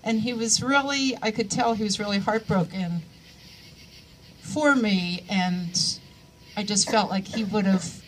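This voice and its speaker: A woman in her sixties speaks at a memorial for a coworker. She talks in a halting way, with frequent pauses and small fillers, at a middling pitch, and a faint hiss sits under her voice. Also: low-quality recording. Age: 60s. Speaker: coworker giving a eulogy. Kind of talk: memorial remarks.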